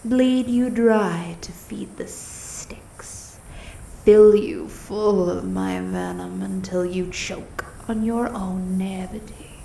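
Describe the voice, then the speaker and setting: Venomous female monster, very slow drawl, dark mellow tone, seductive menace, breathy threats, ASMR fantasy roleplay. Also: medium pitch, echoey room, a little hiss or hum, low-quality recording. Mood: sadistic, taunting.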